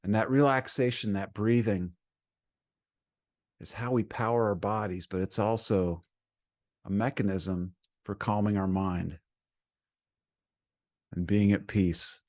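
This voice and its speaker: Male meditation guide: lower-pitched voice, soothing and gentle delivery, unhurried phrasing with plenty of pauses. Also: steady tone. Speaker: meditation teacher.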